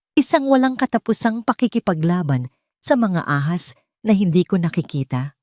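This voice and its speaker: A woman's voice: sits low, dark tone, no room sound, studio quality. A woman reading a scripted first-person story as a dramatic narrator. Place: dry close studio-like recording.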